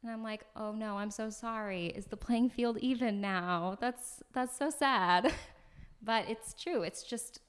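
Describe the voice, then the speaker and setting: A young American woman, an actress, chatting as a podcast guest in an animated way, laughing often and filling her talk with "like". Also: on the high side, unhurried, clear, resonant tone. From United States. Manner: animated, laughs often, talks in bursts with many "like"s. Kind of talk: casual podcast conversation. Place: floor of an apartment on moving day.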